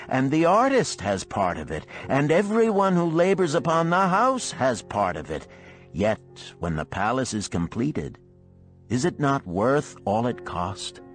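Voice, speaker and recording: A man reading as an emphatic, animated wealthy sage. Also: medium pitch, voice pushed up. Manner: rhetorical, formal, emphatic oratory. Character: wealthy wise older Babylonian man, emphatic, instructive, self-assured. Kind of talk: dramatised storybook parable reading.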